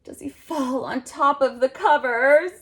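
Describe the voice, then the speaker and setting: A middle-aged woman hosting a storytime for small children, reading aloud in an expressive, exaggerated way with dramatic pauses. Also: high-pitched. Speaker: host of a children's sign language storytime.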